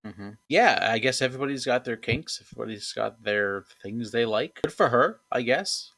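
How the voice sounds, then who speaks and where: A man's voice: mid-range voice, expressive, normal speed, a bit bright. A man co-hosting a podcast, laid-back, with short reactions and dry jokes. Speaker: podcast co-host. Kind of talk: casual podcast chat.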